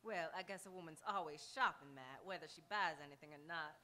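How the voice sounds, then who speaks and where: A woman's voice: sits low, fairly quick, sharp tone. An actress playing a shrewd, chatty townswoman in a live radio Western, with a knowing, pointed manner. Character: shrewd, sociable frontier townswoman, the marshal's confidante. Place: auditorium stage, live radio theatre performance.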